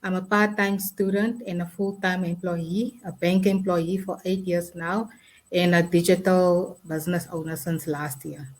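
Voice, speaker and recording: A woman from South Africa giving a brief, steady self-introduction. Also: on the low side, unhurried, poor audio. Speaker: panel guest.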